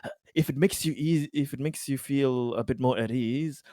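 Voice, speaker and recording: A man with a mid-pitched voice speaks in character as a player in a tabletop roleplaying game. He is polite and halting, and the sound is close and dry. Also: warm tone, no echo. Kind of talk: improvised in-character roleplay dialogue.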